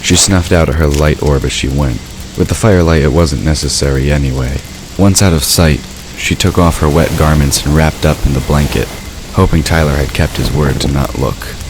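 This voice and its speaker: A man's voice: rumbling low, moderate pace, over music, rough recording. A man narrating a scripted story as the narrator of an audio drama. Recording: clear background ambient noise. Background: ambient sound effects.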